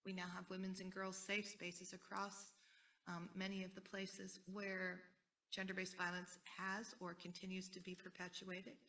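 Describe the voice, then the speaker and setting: An American woman speaking as a panelist at a conference, earnest and deliberate, repeating key phrases for weight. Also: medium pitch, monotone, bright, forceful tone, recorded from a distance, low-quality recording. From United States. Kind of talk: panel talk.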